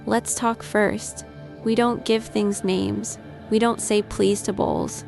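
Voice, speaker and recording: Woman voicing serious protective mom, noticeable vocal fry, gentle but firm, eerie story dialogue, quiet music underneath. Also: medium pitch, fairly even intonation, slow pace. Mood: firm, wary.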